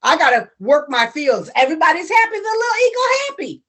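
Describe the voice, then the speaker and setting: Woman voicing a hotheaded farmer in a spoken folktale, high and shouty, all over the place. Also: very animated, fast talker. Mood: brushing off. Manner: shouting, flustered. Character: excitable male farmer, quick to anger.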